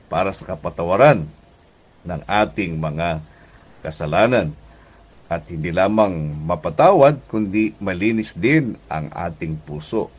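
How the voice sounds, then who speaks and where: A Filipino man preaching a radio devotional as pastor and host, deliberate in delivery, with pauses and emphatic repetition. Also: deep voice, husky.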